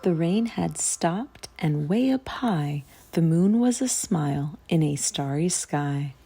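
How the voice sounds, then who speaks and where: A woman narrating a rhyming children's story in an expressive read-aloud style. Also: deep voice, slow, faint music behind.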